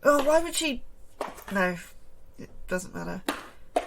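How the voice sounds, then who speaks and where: A creaky, lively-pitched anxious female scientist. She sounds fretful and prickly, as if thinking aloud in a sci-fi audio drama, with clear noise in the background. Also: unhurried, full character voice. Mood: uneasy, pensive.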